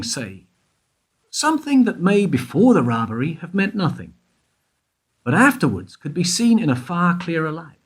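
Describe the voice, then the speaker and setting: A man playing a smug, probing investigator in a radio play, pressing with leading questions and pointed pauses. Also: high-pitched, slightly rough, heavily acted. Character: probing, self-satisfied male investigator questioning a suspect.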